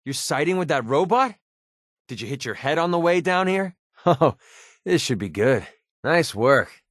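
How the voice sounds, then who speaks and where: A man's voice: a little high, big pitch swings, unhurried, fairly bright tone, no room sound, clean studio sound, exaggerated voice. An actor playing a cocky, sarcastic young male mercenary in a video game, talking in a casual, snarky way. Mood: exasperated. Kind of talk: video game companion dialogue.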